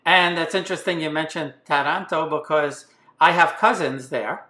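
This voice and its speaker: A man in his seventies hosting an interview, asking questions in a relaxed, chatty way, with plenty of fillers and restarts. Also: a little high, moderate pace, reverberant. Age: 70s. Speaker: podcast host, interviewer. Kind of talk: casual interview.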